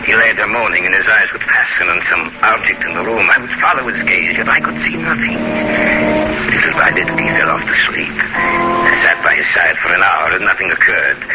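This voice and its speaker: An actor playing a grave, formal physician who speaks deliberately in a 1940s radio horror drama. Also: male voice, sits low, very animated, muffled recording, loud music behind the voice. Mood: grave, measured. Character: grave, measured, reassuring male physician narrator. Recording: noisy old 1940s radio recording.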